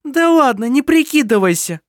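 An indignant young woman as the reader plays her screeches her protests in a very high voice. Her pitch leaps about, and the recording is close and dry. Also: very animated, normal speed, dry recording. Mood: outraged. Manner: screeching, outraged.

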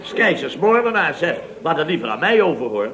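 A blustery building-firm boss, with a fairly high voice and theatrical, exasperated delivery full of repetition. It is old radio comedy, with noise and clicks on the recording. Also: male voice, very animated, a little fast, a bit bright. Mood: exasperated scolding. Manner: emphatic, theatrical, repeats himself. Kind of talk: 1970s radio comedy acting.

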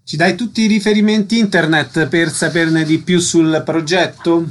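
Male radio presenter, fairly high register, announcer-style links between segments. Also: fairly even intonation, moderate pace, slight background noise. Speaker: radio host.

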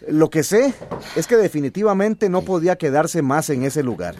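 Radio drama: down-to-earth village man, played by an actor, highish lively voice, noisy setting. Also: moderate pace, close to an everyday voice. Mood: serious. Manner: familiar, colloquial. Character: teasing, familiar village man, concerned for his cousin.

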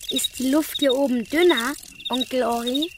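A woman's voice: high voice, moderate pace, background noise, close to an everyday voice. A woman playing a curious, frank young child in a German radio play, asking and telling things directly. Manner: inquisitive, direct.

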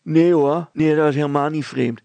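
A man's voice: a little high, fairly even intonation, normal speed, creaky, mellow, no echo, pitched above the performer's own voice. A man voicing a muddled, stubborn village woman in a children's story, rambling, stumbling and repeating herself emphatically. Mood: emphatic.

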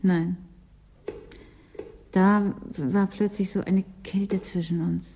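A woman playing a weary, brooding office superior in a German radio drama, speaking pensively and haltingly. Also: fairly low pitch, flat delivery, dark tone, husky, exaggerated voice. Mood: wistful.

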